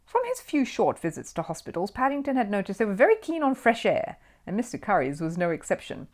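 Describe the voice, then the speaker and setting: Woman reading a storybook with lively intonation. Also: medium pitch, fairly quick. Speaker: audiobook reader. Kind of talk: scripted narration of a children's storybook.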